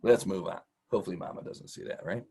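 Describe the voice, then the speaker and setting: A man's voice: sits low, fast talker, dark tone, slight background noise. A middle-aged man hosting an informal, encouraging vocabulary lesson for children in a folksy, casual way. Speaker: host, teacher.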